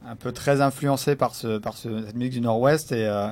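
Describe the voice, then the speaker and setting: Mellow-voiced man, halting musician. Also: medium pitch, fairly even intonation. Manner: halting, self-correcting, searching for words. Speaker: band musician. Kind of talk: conversational radio interview.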